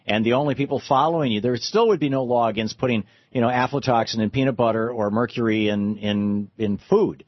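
A man's voice: mid-range voice, brisk, few pauses, no room sound. A man hosting a call-in radio talk show, agreeing with callers and thinking aloud with restarts. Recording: muffled radio broadcast.

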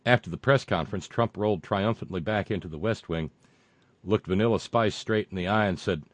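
A man hosting a show, reading viewer comments and delivering sarcastic political commentary that swings from loud rants to muttered asides, with frequent fillers and profanity. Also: lowish voice, a little fast, no echo. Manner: mocking, builds into loud rants then drops to muttering; profane, many fillers and restarts.

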